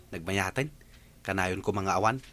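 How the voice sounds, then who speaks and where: A man's voice: deep voice, animated, slow, bright, forceful tone, exaggerated voice. A man playing a teasing, doubtful village patrolman in an Ilocano radio comedy drama, with an Ilocano accent. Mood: cheeky.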